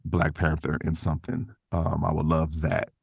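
A man's voice: very deep voice, completely flat delivery, normal speed, creaky, darkish tone, husky, no room sound, studio quality. A man speaking informally as a guest voice actor in a casual interview, chuckling between phrases.

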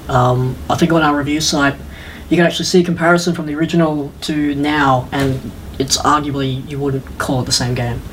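A man's voice: medium pitch, far from the mic, rough recording. Man, slightly off-mic, casual game reviewer, informal hesitant talk, faint background noise. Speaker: podcast co-host and game reviewer. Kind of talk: casual chat about video games.

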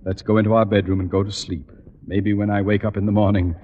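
An American actor playing a pompous, exasperated middle-aged father who is quick to rage, in 1940s radio comedy style. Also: fairly low pitch, flat delivery, phone-quality sound, close to an everyday voice. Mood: straining for calm. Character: blustering, easily enraged middle-aged man, pompous father. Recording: vintage radio recording, roomy reverb.

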